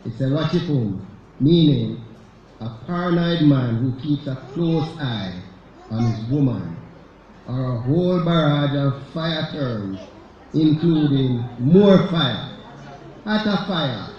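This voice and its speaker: A man from Jamaica reading a sermon-lecture in a church, with measured delivery and slow stresses on key words. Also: medium pitch, animated, slight background noise. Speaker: church speaker. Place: church sanctuary. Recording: echoey church sanctuary, slightly dull sound.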